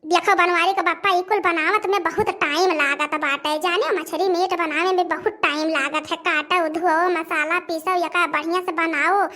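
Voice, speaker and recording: Someone voicing a shrill, grumbling old village woman. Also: sharp tone. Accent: Awadhi. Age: older. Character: grumbling old village mother-in-law, wife of an old man. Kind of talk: homemade cartoon dialogue in rural dialect.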